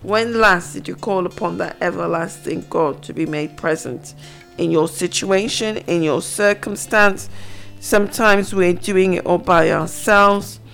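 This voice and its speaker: Slow-speaking woman teaching scripture. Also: on the low side, creaky. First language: not Hebrew. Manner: slow, reflective, reads scripture aloud. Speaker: radio Bible teacher. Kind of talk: Bible teaching on radio. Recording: faint guitar music behind. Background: soft guitar music.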